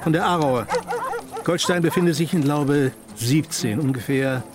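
A man's voice: fairly high pitch, normal speed, close to an everyday voice. An actor playing a curt, impatient senior policeman in a radio drama, with a clipped, authoritative manner. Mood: commanding.

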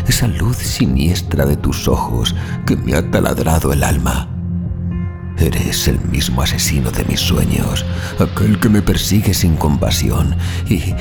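Man performing a doomed passenger, low-pitched voice, brooding, slow literary horror monologue, audio fiction, prominent music behind. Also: vocal fry, heavily acted. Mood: dread, fascinated. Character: doomed male passenger, obsessed with his murderous chauffeur.

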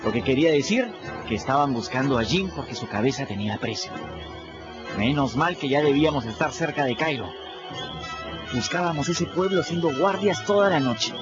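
A man with a Peruvian accent narrates in the first person for a radio drama, his voice moving lively up and down. The audio is dull, and music plays underneath. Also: medium pitch, normal speed. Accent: Peruvian Spanish. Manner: storytelling, expressive.

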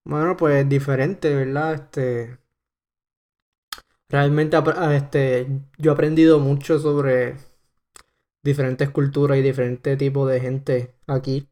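A young man from Puerto Rico with a Puerto Rican accent, chatting casually on a podcast and filling pauses with "este". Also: mid-range voice, level tone, darkish tone. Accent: Puerto Rican Spanish. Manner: rambling, heavy on fillers. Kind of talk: casual chat.

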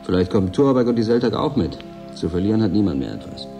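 An actor playing a resigned, doubting station worker in a radio drama, subdued and questioning. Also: male voice, lowish voice, sing-song, quick pace, gravelly, over music. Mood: resigned, searching.

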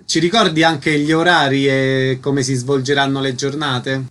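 A man's voice: medium pitch, flat delivery, moderate pace, a bit bright. A man hosting a radio programme, putting concise questions and reading out announcements. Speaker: radio interviewer, host.

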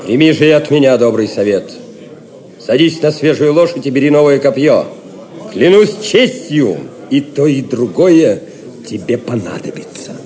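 An actor playing a proud, defiant young knight in an audio drama, speaking in a gallant, declamatory manner. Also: male voice, medium pitch, expressive, moderate pace, darkish tone, close to an everyday voice. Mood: proud defiance. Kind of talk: theatrical audio drama acting.

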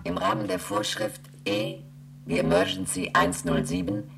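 A woman playing an emotionless moon station computer in a radio play, reciting in a formal monotone. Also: a bass voice, moderate pace, soft background music. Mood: impassive. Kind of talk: German radio play acting.

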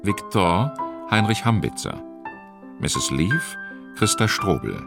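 A man's voice: low voice, sing-song, takes it slowly, creaky, loud music behind the voice. A man reading scripted title and cast credits as a radio play announcer.

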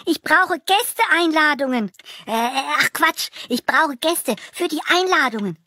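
Squeaky-high, scatterbrained little mouse boy. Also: animated, a little slow, pushed and bright, no room sound, heavily acted. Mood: flustered. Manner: muddled, self-talking, exclaiming. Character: scatterbrained, excitable little cartoon mouse boy, cheese-mad. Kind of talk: children's audio play acting.

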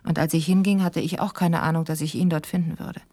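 A woman's voice: low voice, steady tone, quick pace, warm tone. An actress playing a woman who reads her own grave, candid letter in a sober, matter-of-fact way in a radio drama. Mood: grave, restrained.

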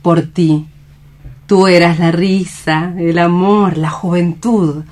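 A slightly low voice of a devoted young woman in radio theatre. She speaks earnestly and tenderly, with lyrical feeling in her phrasing. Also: fairly even intonation, slow pace, a little mellow. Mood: anguished. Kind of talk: radio theatre dramatic reading.

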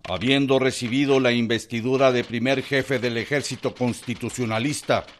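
An actor playing a formal, stiff-mannered chief of a constitutional army in a radio drama. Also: male voice, mid-range voice, steady tone, normal speed, a little hiss or hum, lightly acted.

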